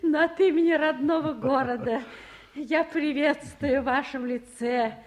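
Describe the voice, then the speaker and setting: A woman's voice: high voice, measured pace. A woman acting in a radio play as a hearty, deferential middle-aged town official, shifting between hesitant asides and ceremonial effusion. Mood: effusive.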